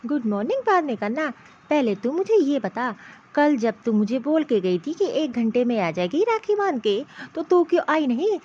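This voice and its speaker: A woman's voice: high voice, sing-song, moderate pace, darkish tone, no room sound. A woman voicing a protective middle-aged mother-in-law in a cartoon family story, reproachful and insistent, piling up pointed questions. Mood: indignant, scolding. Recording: dull, lo-fi cartoon voice track.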